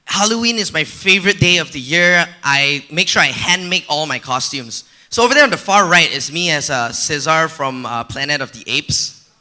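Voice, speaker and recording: A man from Malaysia giving a conference talk on stage in a relaxed, personal way with frequent fillers. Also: fairly high pitch, normal speed. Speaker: conference speaker. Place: conference stage before an audience.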